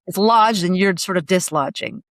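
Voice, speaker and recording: A woman's voice: on the low side, moderate pace, no echo. A woman physician hosting a podcast interview, reflective and searching aloud for the right words.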